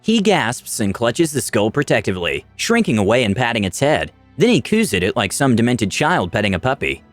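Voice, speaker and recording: Animated man narrating a fantasy story. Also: medium pitch, brisk, no echo, soft background music. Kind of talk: dramatic scripted first-person fantasy narration.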